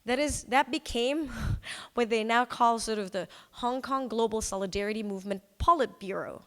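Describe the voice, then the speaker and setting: A woman's voice: a little high, moderate pace, a bit bright. Female presenter, conversational talk delivery, informal asides, long hall reverb. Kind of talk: conference talk presenting a storytelling project.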